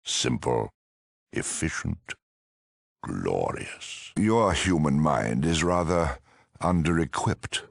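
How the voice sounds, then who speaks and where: An extremely low-voiced super mutant commander for a video game. He is haughty and sardonically polite, rolling his gravelly words with sweeping intonation. Menace sits under every courteous phrase. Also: big pitch swings, no echo, studio quality, heavily acted. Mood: condescending. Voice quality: gravelly, raspy. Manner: mock-courteous, droll, condescending, deliberate. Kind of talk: video game villain acting.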